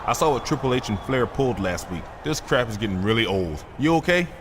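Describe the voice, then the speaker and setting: Man playing ambitious male wrestler, mid-pitched, casual cocky talk, game cutscene, noisy background. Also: animated, brisk, natural voice. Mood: confident, persuasive. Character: confident, ambitious male wrestler proposing an alliance. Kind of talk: video game cutscene dialogue. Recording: clearly noisy background ambience.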